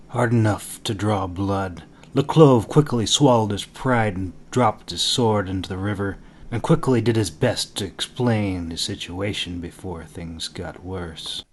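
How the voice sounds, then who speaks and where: A man narrating a fantasy audiobook in a dramatic, expressive, deliberate storytelling style. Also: lowish voice, no room sound.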